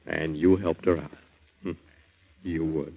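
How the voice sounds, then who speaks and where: Middle-aged hanger-on, 1940s radio play. Deep, dry, wry, faintly cynical; narrow vintage broadcast sound. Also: male voice, steady tone, measured pace, full character voice. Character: wry, sponging aging old friend, faintly cynical. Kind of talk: 1940s radio drama acting. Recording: muffled narrow-band 1940s radio recording.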